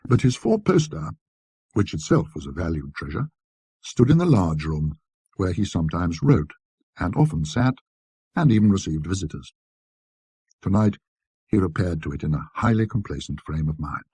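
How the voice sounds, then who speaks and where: A man with a husky, raspy voice narrating a classic literary ghost story in a precise, scholarly, measured manner. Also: sits low, big pitch swings, mellow, no echo.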